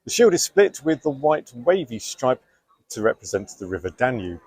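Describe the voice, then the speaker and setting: A man's voice: medium pitch, very animated, moderate pace, darkish tone, slightly rough, no room sound. A man hosting a video, narrating a script with a playful, jokey delivery. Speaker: video host.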